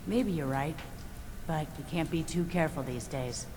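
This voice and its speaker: A woman playing a wary but grateful settler in a video game, speaking in a measured, conciliatory way. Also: deep voice, long reverb tail, background noise, lightly acted. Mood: guarded, grateful. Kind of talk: video game dialogue acting.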